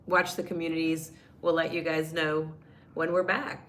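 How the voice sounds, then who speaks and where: Older female co-host, low-ish voice, laughs a lot, casual live chat. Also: level tone, moderate pace, fairly bright tone, a little hiss or hum. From United States. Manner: giggly, laughs often. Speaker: co-host of a travel channel.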